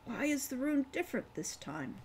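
A woman's voice: sits high, very animated, normal speed, darkish tone, a little hiss or hum, pitched above the performer's own voice. A woman voicing an earnest, persistent young man in a storybook, awed by magic and eager to learn it.

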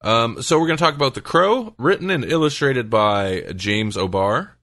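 A man's voice: mid-range voice, expressive, normal speed, a bit bright. A man hosting a podcast, talking casually and conversationally with his co-hosts. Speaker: podcast host.

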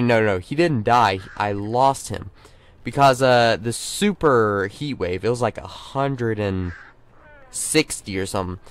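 A man's voice: medium pitch, smooth, dry recording. A young man with a sore throat and a stuffy nose, rambling through casual let's play commentary with many fillers and restarts. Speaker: gaming channel host.

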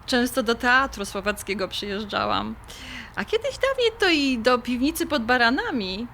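A woman's voice: on the high side, animated, moderate pace, gravelly, pushed and bright, slight background noise, exaggerated voice. A woman playing a skeptical but fond middle-aged mother being courted, questioning incredulously in an audio drama. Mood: puzzled.

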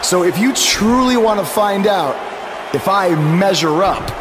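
A man's voice: high voice, lively intonation, measured pace. A man playing a brash, confident up-and-coming wrestler in a video game, delivering a promo in a noisy arena. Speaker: professional wrestler. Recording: loud arena background noise.

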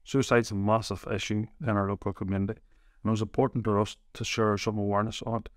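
A man's voice: on the low side, smooth, no echo, studio quality. A man giving an earnest recorded intro to a podcast episode. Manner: flat, quick, earnest. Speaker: podcast host. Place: small room with branded backdrop.

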